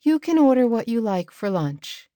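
A woman reading a storybook and voicing a sleepy, gentle mother who reassures her child. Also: on the high side, very animated, no echo, clean studio sound, pitched above the performer's own voice. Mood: drowsy. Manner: slow, soft, drowsy reassurance.

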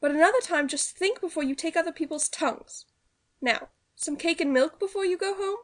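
A motherly vicar's wife from a storybook, as a woman reader voices her, speaking very high and soft, gently chiding. She sounds kind and homely. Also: fairly quick.

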